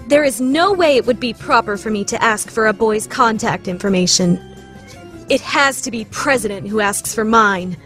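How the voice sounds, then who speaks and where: A woman playing a proud, scheming, secretly lovestruck high school lady in a formal, theatrical anime radio play style. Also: fairly bright tone. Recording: background music under the voice.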